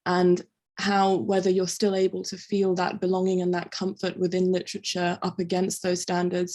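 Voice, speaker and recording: A woman's voice: fairly low pitch, dark tone. A young woman, a student, asking a long, winding question with many hesitant fillers. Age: young adult. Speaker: student interviewer.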